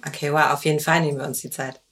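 Low-voiced friendly woman interviewer. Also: flat delivery, racing, fairly bright tone. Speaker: interviewer, community manager. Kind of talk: interview questions.

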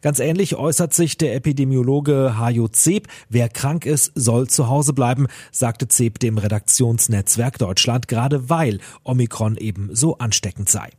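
A man's voice: medium pitch, normal speed, hardly any pauses, warm tone, breathy edge, dry recording, studio quality. A man, a radio news editor, explaining the news in an informal way. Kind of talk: explanatory news talk with the presenter.

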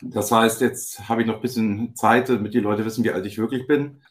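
A man in his forties from northern Germany, a podcast guest talking in relaxed casual chat. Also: lowish voice, fairly quick. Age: 40s.

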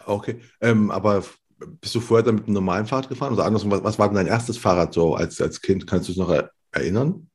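A man interviewing a guest in casual conversation, asking quick questions that often restart mid-phrase. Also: on the low side, darkish tone, husky. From village in Germany.

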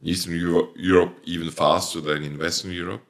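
Very low-voiced Swiss man, hesitant. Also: flat delivery, a little fast. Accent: Swiss German. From Switzerland. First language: Swiss German. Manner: hesitant, searching for words, many fillers and restarts. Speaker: plant producer, company owner. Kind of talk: interview answers.

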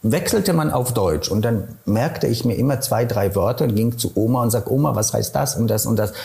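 A middle-aged man from Silesia with a Polish accent, answering interview questions thoughtfully and pausing often to find his words. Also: medium pitch, animated, gravelly, warm tone. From Poland, Silesia. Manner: thoughtful, searching for words, many hesitations.

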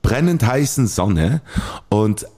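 A man with a Bernese Swiss German accent chatting on a podcast, telling stories in a halting, hesitant way. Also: fairly low pitch, sing-song, mellow, husky. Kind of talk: casual podcast chat.

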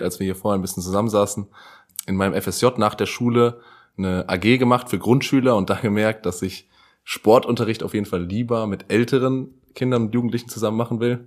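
A man's voice: on the low side, darkish tone. A twenty-year-old man, a student teacher, answering casually in a rambling way with repeated words and fillers.

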